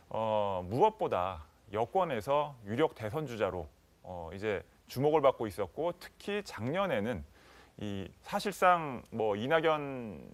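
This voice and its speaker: A man giving unscripted analytical commentary as a panelist, in measured, cautious, long winding sentences. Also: mid-range voice. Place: TV studio.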